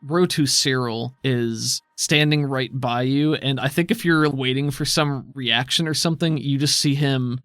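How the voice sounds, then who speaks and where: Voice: mid-range voice, steady tone, a little slow, hardly any pauses, a bit bright, dry recording, clean studio sound. A game master narrating and refereeing a tabletop role-playing game in a casual, conversational way.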